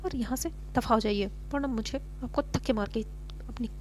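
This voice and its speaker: A woman's voice: on the high side, normal speed, darkish tone, slight background noise, natural voice. A woman voicing an annoyed male mechanic, curt and scolding, with little change from her own voice. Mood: irritated. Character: irritated, blunt male motorbike mechanic.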